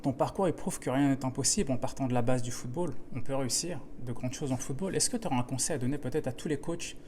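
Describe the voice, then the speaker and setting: A man's voice: medium pitch, fast, darkish tone, slight background noise. A man hosting a podcast, putting informal questions to his guest. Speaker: podcast host, interviewer.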